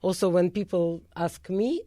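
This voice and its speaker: A woman's voice: on the low side, measured pace, dark tone, no echo. A woman from Russia with a Russian accent, a concert pianist answering interview questions in earnest, plainly phrased English that is not her first language. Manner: earnest, plain phrasing with non-native grammar.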